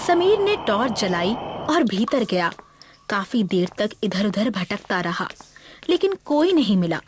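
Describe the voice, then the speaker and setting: A woman's voice: medium pitch, big pitch swings, a little slow, noisy background. A woman reading a scripted, dramatic story as its narrator. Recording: music bed behind the voice.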